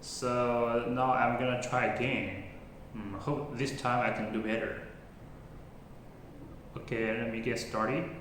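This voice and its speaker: A man who is a non-native English speaker practising pronunciation informally, halting and often repeating and correcting himself. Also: medium pitch, level tone, a bit bright. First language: not English. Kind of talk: informal spoken practice log, repeating words after a recording. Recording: very echoey room, faint background noise.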